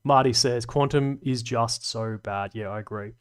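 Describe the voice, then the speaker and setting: A man's voice: mid-range voice, normal speed, clear, resonant tone. A young man hosting a live stream, rambling and swearing casually as he reads chat and laughs along.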